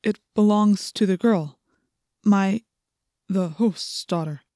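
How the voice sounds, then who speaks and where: A woman voicing a nervous, deferential male underling in an audiobook, speaking in a cautious, halting, submissive way. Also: mid-range voice, warm tone, no echo, clean studio sound, natural voice.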